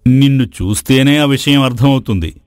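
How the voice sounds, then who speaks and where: A man's voice: mid-range voice, moderate pace, darkish tone, no echo, studio quality, lightly acted. A man playing a wise, paternal king in a moral story, explaining things with familiar authority. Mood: knowing, inquiring. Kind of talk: Telugu moral story voice acting.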